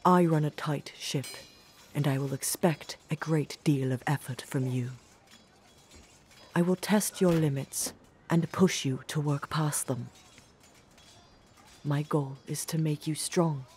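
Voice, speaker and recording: A woman playing a stern but caring commander of a knights' academy, speaking formally and with measured authority in a fantasy audio drama. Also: deep voice, warm tone, a little hiss or hum. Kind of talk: scripted fantasy audio roleplay.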